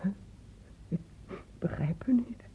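A woman's voice: slow, mellow, whispered, close to an everyday voice. An actress playing the bewildered, defensive mother of a sick teenager in a Dutch radio drama, hesitant, on a muffled old recording. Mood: bewildered, anxious. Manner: confused, hesitant. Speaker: actor in a radio play.

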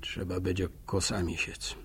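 Husky, folksy village storyteller. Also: male voice, low voice, little pitch movement, moderate pace, fairly bright tone, full character voice. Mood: earnest, grumbling. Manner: folksy, reflective, proverb-laden rambling. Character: folksy village man narrator, musing, neighbourly, plain-spoken. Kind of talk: radio drama monologue in rustic storytelling.

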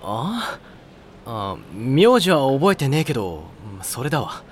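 A frank senior schoolboy in an anime audio drama. His medium-pitched voice moves up and down in a lively way, blunt and casual, with a squeamish streak. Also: slow pace, husky, exaggerated voice. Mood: blunt, uneasy. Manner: blunt, casual boyish slang. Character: frank, slightly squeamish senior high school volleyball boy.

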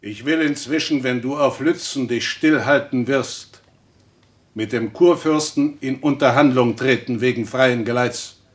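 An actor in a German radio play, playing a stern religious reformer who admonishes in weighty, preacherly speech. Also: male voice, medium pitch, unhurried, natural voice. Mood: measured admonishing. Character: stern, reproving Protestant reformer and theologian.

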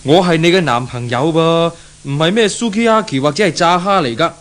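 Man acting a meek young Hong Kong suitor, highish voice. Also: moderate pace, fairly bright tone, natural voice. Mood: hurt, pleading. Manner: meek, pleading. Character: polite, lovelorn, spurned young boyfriend. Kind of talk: 1970s Cantonese radio drama acting.

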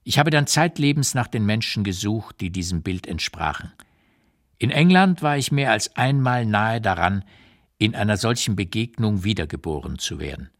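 An actor playing a blunt, opinionated old émigré writer who looks back in a deliberate, emphatic literary monologue for a radio play. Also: male voice, lowish voice, animated. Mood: solemn remembering.

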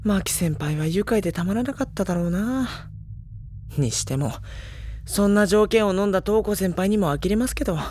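An actor playing a mild, bookish high school boy with dry retorts, narrating and talking in an audio drama. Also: slight background noise. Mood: dry, teasing.

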